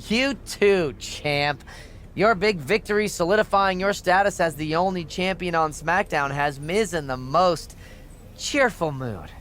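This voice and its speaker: A man's voice: high voice, unhurried, a bit bright, a little hiss or hum. A man playing a smug wrestling manager's aide in a game cutscene, speaking with mock-cheerful sarcasm. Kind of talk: video game cutscene acting.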